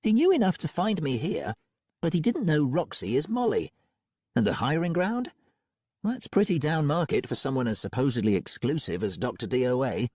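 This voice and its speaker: A woman's voice: a bass voice, very animated, normal speed, warm tone, dry recording, studio quality, voice pushed up, heavily acted. A man reading an audiobook, voicing a witty, breezy woman with a wry way of talking.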